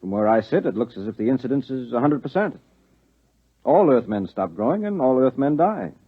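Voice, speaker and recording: An actor playing a wry, probing government official in a 1950s radio drama, with small hesitant pauses. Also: male voice, medium pitch, big pitch swings, husky. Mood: skeptical. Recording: tinny, narrow 1950s radio recording.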